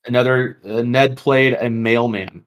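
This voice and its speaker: A man's voice: medium pitch, steady tone, a little slow, low-quality recording. A man hosting a podcast, chatting casually and enthusiastically about tabletop games. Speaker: podcast host.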